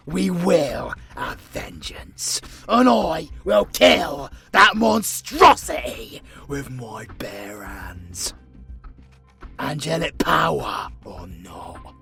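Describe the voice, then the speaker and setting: Guy doing a gruff vengeful demon, super slow n dramatic, swings up and down a lot, fantasy roleplay. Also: mid-range voice, pushed and bright, exaggerated voice. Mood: grieving, vengeful. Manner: menacing, theatrical, drawn-out phrasing. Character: vengeful, honor-bound male demon, gruffly hospitable.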